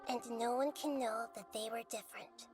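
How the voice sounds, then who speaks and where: A woman playing a gentle, wise, childlike deity in a video game, speaking in a measured, earnest, solemn way. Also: fairly high pitch, steady tone, natural voice. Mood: solemn storytelling. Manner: measured, earnest, philosophical delivery. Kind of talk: scripted game dialogue and storytelling. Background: music under the voice.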